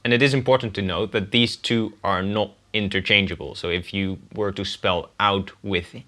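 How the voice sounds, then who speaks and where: Dutch guy, kinda low voice but bright, walking through examples one by one. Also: fairly even intonation, moderate pace. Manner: clear, methodical explaining with spelled-out examples. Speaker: guest language teacher. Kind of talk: scripted pronunciation lesson.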